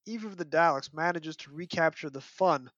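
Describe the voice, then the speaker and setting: A man's voice: a little high, moderate pace, fairly bright tone, dry recording, highs cut off. A man hosting a casual fan discussion, speaking in an emphatic, opinionated way. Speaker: podcast host.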